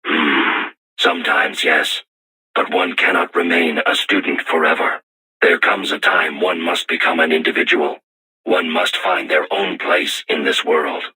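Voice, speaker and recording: A man voicing a solemn self-aware robot war machine in a video game, with formal, measured, stilted diction and robotic processing on the voice. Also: deep voice, fairly even intonation, bright, forceful tone, slightly rough, full character voice, low-quality recording. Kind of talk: scripted video game voice acting.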